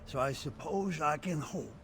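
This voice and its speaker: High-voiced nord leader, earnest, reflective, faint hiss behind. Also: male voice, lively intonation, unhurried. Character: proud eastern Nord leader offering aid, earnest. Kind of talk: video game cutscene dialogue.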